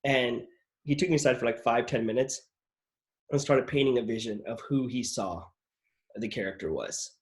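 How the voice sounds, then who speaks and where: A man's voice: mid-range voice, moderate pace. A man hosting a casual interview show, telling stories from his acting work in a loose, rambling way full of "like" and restarts. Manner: rambling, heavy use of "like" and restarts. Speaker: podcast host and actor.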